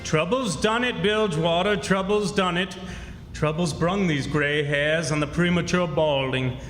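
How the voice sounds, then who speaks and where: A man plays an old grey-haired con man claiming to be a wronged king. He is folksy and mock-solemn, with a slightly high voice. The radio play echoes through a reverberant hall with music. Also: a little slow, fairly bright tone. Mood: pompous, sorrowful. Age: older. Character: grey-haired old rogue posing as exiled French king. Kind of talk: old-time radio drama acting, performed live. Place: stage in a reverberant historic club hall.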